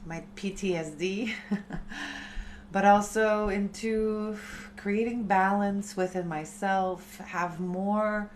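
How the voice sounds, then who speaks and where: A woman in her thirties, a chanting teacher, talking softly and unhurriedly to her live-stream community. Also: mid-range voice, steady tone, slight background noise. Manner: slow, soft, unhurried spiritual talk.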